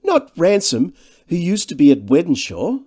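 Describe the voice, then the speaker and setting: A man voicing a slender younger gentleman in a storybook, glib, breezy and sardonic. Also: a little high, wildly swooping pitch, unhurried, pitched above the performer's own voice. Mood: breezy recognition. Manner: breezy, mocking.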